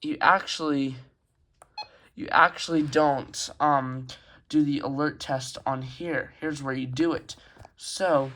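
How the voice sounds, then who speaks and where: Casting: male hobbyist video host, unhurried pace, halting off-the-cuff delivery, casual home review. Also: on the high side, steady tone, sharp tone, a little hiss or hum. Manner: hesitant, halting, unscripted rambling. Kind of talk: casual show-and-tell review. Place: carpeted room at home.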